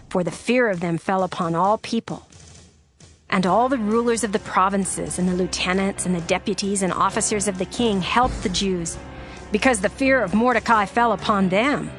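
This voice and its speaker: A woman narrating scripture in a steady, formal storytelling cadence. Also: medium pitch. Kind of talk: dramatized scripture reading. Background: soft music.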